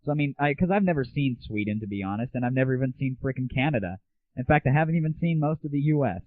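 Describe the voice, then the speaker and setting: A man's voice: expressive, quick pace, no echo. American man, tinny lo-fi recording. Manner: irreverent, slangy, swearing. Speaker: host of an audio show. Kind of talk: casual opinionated monologue. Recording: muffled, tinny low-fidelity 1990s internet audio.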